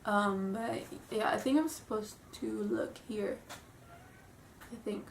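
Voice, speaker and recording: A woman's voice: medium pitch, takes it slowly, a little hiss or hum. Young woman, stuffy from a cold, nervous giggly rambling, roomy far-off home camera sound. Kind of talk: casual vlog chat. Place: room at home.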